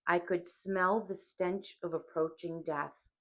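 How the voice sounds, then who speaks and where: A woman narrates an audiobook in a somewhat low voice. Her pace is very slow and deliberate, and her reading is solemn and dramatic. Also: steady tone, fairly bright tone. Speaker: audiobook reader.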